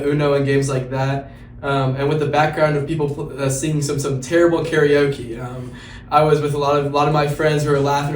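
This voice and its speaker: Teen boy, student ambassador, flat halting delivery, echoey distant room sound. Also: medium pitch. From Central Indiana. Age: teens. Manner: hesitant, many ums and restarts. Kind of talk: casual interview answers. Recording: roomy, off-mic with faint noise.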